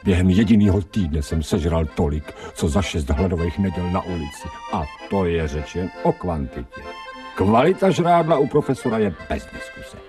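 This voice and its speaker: An actor playing a vain, fawning, self-pitying stray dog musing colloquially to himself in a radio drama. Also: male voice, sits low, expressive, far from the mic, over music. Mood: smug, adoring. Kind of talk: radio drama inner monologue.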